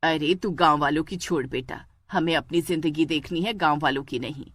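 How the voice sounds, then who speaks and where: A woman's voice: low voice, normal speed, a bit bright, no room sound, voice pushed down. Insistent village mother-in-law played by a woman. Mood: dismissive.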